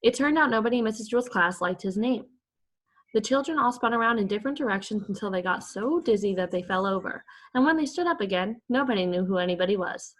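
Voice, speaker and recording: A woman's voice: medium pitch, expressive, quick pace. A woman reading a children's chapter book aloud to young pupils, like a primary school teacher. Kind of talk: expressive children's story read-aloud.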